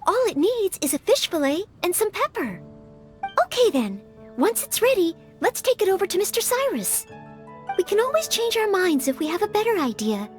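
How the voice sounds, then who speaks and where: Very high, earnest young maid. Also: female voice, big pitch swings, normal speed. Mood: thoughtful. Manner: courteous, careful, earnest. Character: earnest, polite, eager young maid girl training as knight. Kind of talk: video game character acting. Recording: game music under the voice.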